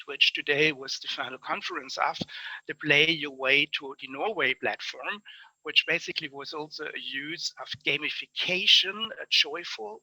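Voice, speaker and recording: A man's voice: fairly high pitch, pushed and bright, dry recording, poor audio. A man with a German accent, a native German speaker, summing up an online conference with enthusiasm, often repeating ideas in chains of near-synonyms. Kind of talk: spoken conference summary. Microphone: online conference call.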